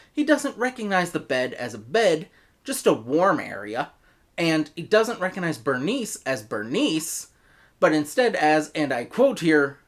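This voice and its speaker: American man reviewing, very animated pitch. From United States. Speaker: reviewer, host. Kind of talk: scripted review narration with personal opinion.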